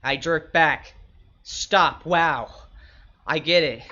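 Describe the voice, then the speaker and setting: A man plays a wary young escort telling his story in the first person. The voice is bright and forceful, a bit high, with a wry, measured delivery. The recording is slightly dull. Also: full character voice. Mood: wary, suspicious. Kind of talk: first-person literary narration.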